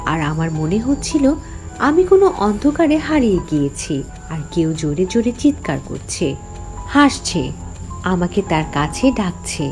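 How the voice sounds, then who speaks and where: A woman's voice: fairly low pitch, sing-song, measured pace, a little mellow, faint music behind. A woman playing a cunning, defiant young heroine who tells her horror story in an emphatic, theatrical way. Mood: tense narration. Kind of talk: dramatic first-person horror story narration.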